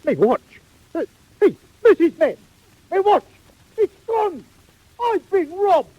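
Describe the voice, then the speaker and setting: An actor playing a self-important, impatient British workhouse master, curt and blustering, in a 1940s audio drama. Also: male voice, very high-pitched, big pitch swings, slow, no room sound, lo-fi sound, heavily acted. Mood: panicked. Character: pompous, gruff, impatient middle-aged male workhouse master.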